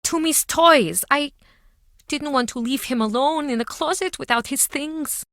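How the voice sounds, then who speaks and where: A woman's voice: high-pitched, a little slow, fairly bright tone, no echo, clean studio sound. An actress playing a guarded, heartbroken townswoman with an earnest, emotional delivery in a mystery video game. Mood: rueful. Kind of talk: dramatic video game voice acting.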